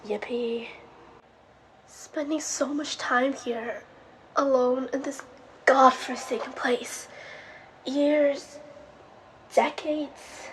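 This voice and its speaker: A woman's voice: high voice, steady tone, very slow, long pauses, slight background noise, rough recording. A woman voicing a bored, sarcastic young female ghost in a scripted audio monologue, girlish and sulky.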